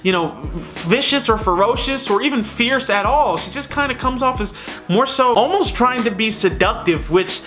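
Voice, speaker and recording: High-pitched, bright-voiced man reviewing films. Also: expressive, normal speed, soft background music. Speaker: film reviewer and host. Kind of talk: casual opinionated video review to camera.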